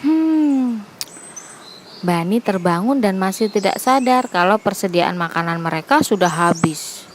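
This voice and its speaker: A woman's voice: lowish voice, moderate pace. Woman narrating children's fable over noisy ambience.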